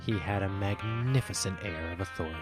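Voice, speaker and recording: A man's voice: low voice, brisk. A man reading scripted storybook narration as the narrator of a children's tale. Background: plucked guitar music.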